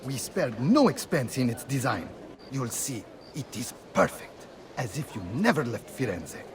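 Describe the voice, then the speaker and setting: A man's voice: medium pitch, very animated, measured pace, background noise. A man playing a polished Venetian tour guide, speaking in a formal, flowery, welcoming way. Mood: showy, welcoming. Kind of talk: video game character acting, formal guided-tour speech.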